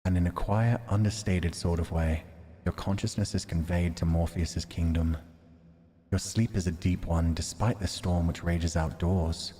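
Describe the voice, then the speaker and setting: A man's voice: low-pitched, fairly even intonation, measured pace, warm tone, husky. A man streaming a visual novel, narrating it expressively and adding candid, reflective, informal commentary. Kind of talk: expressive story narration mixed with casual commentary. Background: music.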